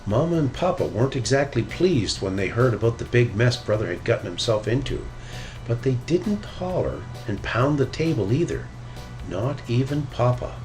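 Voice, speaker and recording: A man reading a children's storybook aloud as narrator, in an animated storytelling style. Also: medium pitch, moderate pace, faint music behind, poor audio. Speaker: storybook reader.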